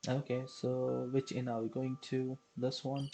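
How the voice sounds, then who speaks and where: A woman casually talking through a video game on a live stream, thinking aloud and filling pauses with short remarks. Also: very deep voice, slight background noise. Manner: relaxed, filler-heavy, thinks aloud. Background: game music.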